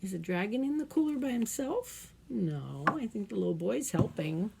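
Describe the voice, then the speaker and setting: A woman reads a children's picture book in a very animated, expressive way. Her mid-pitched voice has some creak in it. She drops in chatty asides and questions, and there is some noise in the recording. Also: moderate pace. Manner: expressive, playful, asks the listeners questions. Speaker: storytime reader at a library.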